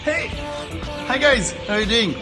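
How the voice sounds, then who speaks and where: A man giving a playful, halting outdoor city tour as a casual video message, pausing often as he talks. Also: very high-pitched, animated, over music. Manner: jokey, hesitant, halting with frequent pauses. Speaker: self-styled tour guide sending a wedding video message. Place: outdoors on city streets and by a river.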